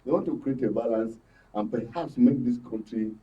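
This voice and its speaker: A man with a Nigerian accent giving conversational political commentary as a guest, in a halting way, searching for his words. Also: mid-range voice. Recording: muffled, far from microphone.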